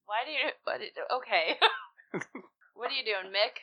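A woman's voice: fairly high pitch, moderate pace, muffled recording. A woman playing a tabletop game, chatting casually and describing her character's moves.

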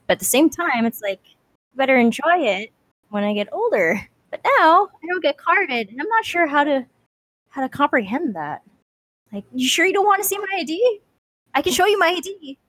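A woman's voice: high-pitched, sing-song, moderate pace, a bit bright, dry recording. A woman in her twenties co-hosting a podcast, chatting casually with playful, mock-dramatic complaining. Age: 20s. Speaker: podcast co-host. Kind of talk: casual chat.

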